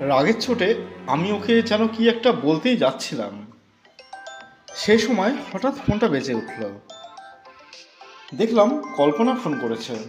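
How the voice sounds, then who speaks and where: High, expressive male horror narrator. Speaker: storyteller. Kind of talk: first-person horror story narration. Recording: roomy with faint music.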